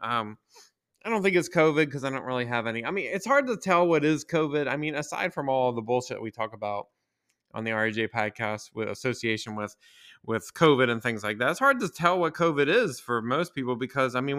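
A man's voice: mid-range voice, fairly bright tone, smooth. A man, hosting a casual solo podcast, a bit stuffy from a cold and talking in a rambling, crude, jokey way. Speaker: podcast host.

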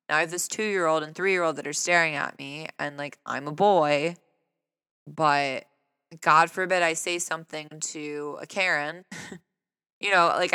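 A man speaking earnestly and conversationally in a personal reflective talk, his voice fairly high for a man, often asking rhetorical questions. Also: sharp tone, clean tone, dry recording, clean studio sound, long reverb tail. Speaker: vlogger.

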